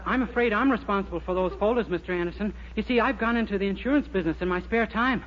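A man's voice: high voice, fast, natural voice. An actor playing a naive, eager and tactless young American insurance salesman in a 1940s radio sitcom, guileless and blundering in his talk. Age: young adult. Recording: noisy, dull old 1940s radio recording.

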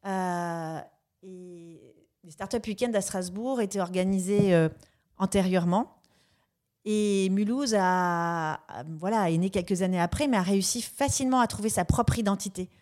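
A woman hosting a podcast interview, asking questions in a measured, thoughtful way. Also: mid-range voice, a little mellow. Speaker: podcast host.

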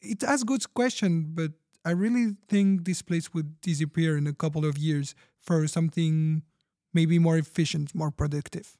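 Man as a mellow, higher-voiced sober skeptic. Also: moderate pace, no echo, studio quality, close to an everyday voice. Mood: skeptical. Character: Nilan, sober efficiency-minded skeptic. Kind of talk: fiction podcast panel interview acting.

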